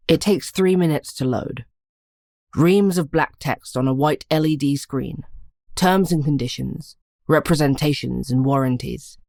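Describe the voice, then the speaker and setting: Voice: medium pitch, a little mellow, no room sound, studio quality. A narrator reading literary fiction aloud in an audiobook style, addressing the listener directly. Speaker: narrator of a short story podcast.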